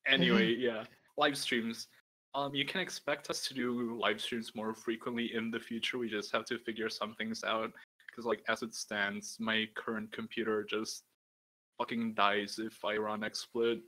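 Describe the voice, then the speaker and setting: A young man with a deep voice and a bright, forceful edge, hosting a casual podcast. He rambles loosely, filling his talk with "like" and the occasional curse. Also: little pitch movement, normal speed, low-quality recording. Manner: rambling, peppered with "like" and swearing. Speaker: podcast host. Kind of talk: casual chat.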